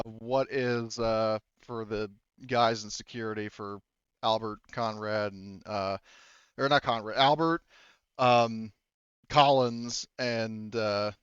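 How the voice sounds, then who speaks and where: A man acting as game master of a tabletop roleplaying game, improvising narration and questioning players in a halting, rephrasing way. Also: fairly low pitch, a bit bright, smooth, dry recording, highs cut off.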